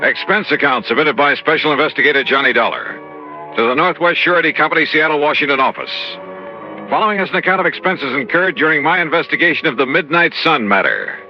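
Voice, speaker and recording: Tough wry radio detective, clipped and weary, lots of inflection, old muffled broadcast sound. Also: male voice, a little high, expressive, quick pace, faint music behind. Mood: businesslike. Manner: clipped, wry, hard-boiled. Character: tough, world-weary male insurance investigator. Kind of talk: 1950s radio detective acting and first-person narration.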